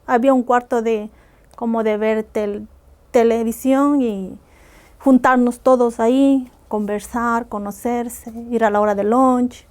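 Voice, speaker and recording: A woman's voice: fairly high pitch, steady tone, unhurried, darkish tone. A woman from Ecuador, earnestly recounting hard personal experiences as a guest on a podcast. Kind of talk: personal testimony in a podcast interview.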